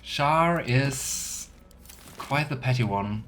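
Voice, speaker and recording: A man's voice: mid-range voice, lively intonation, drawn-out words, fairly bright tone, slight background noise. A man giving casual, chatty game commentary as a let's play streamer, sometimes reading game text aloud.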